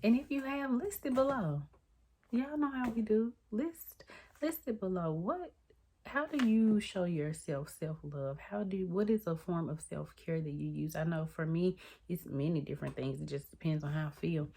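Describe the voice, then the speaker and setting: A woman's voice: normal speed, a little hiss or hum. A woman, chatting casually to her viewers and calling them y'all. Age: 30s. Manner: chatty, addresses viewers as y'all, lively swings in pitch. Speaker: vlogger. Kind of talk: casual chat to the camera.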